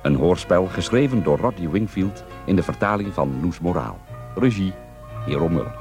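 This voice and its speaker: A man's voice: low-pitched, animated, moderate pace. A man with a Netherlands Dutch accent reading a scripted title announcement for a radio drama. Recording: music behind the voice.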